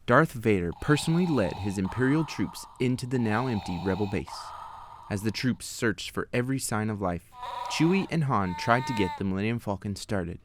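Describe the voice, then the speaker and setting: A man reading scripted storybook narration as the storyteller, with clear noise behind the voice. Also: fairly low pitch, lively intonation, moderate pace. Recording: clear background noise, clicks.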